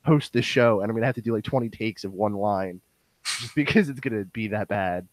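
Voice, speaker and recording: A young man chats on a podcast in a hoarse, nasal, bassy voice that is close to wearing out. His pitch sits a little low and he rambles with many fillers. The sound is dry and close. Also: a little fast, no echo. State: hoarse, voice going. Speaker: podcast host. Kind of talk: casual podcast chat.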